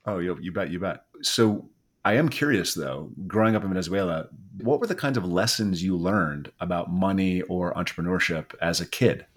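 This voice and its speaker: A man's voice: low-pitched, normal speed. Easygoing male podcast host. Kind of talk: podcast interview.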